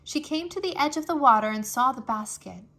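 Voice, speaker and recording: A woman reading a children's history story aloud in an expressive, deliberate, scripted storytelling manner. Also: high-pitched. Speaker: storybook reader.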